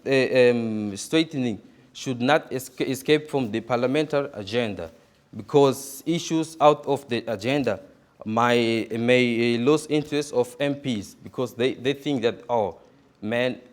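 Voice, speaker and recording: Slow, halting man presenting. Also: mid-range voice. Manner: halting, many repeated words. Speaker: conference speaker. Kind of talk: conference presentation.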